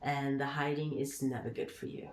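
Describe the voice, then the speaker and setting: A woman from Sudan, a founder being interviewed, giving earnest advice in short, halting phrases. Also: extremely low pitch, steady tone. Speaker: guest, founder. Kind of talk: podcast interview answers. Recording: echoey room, faint noise.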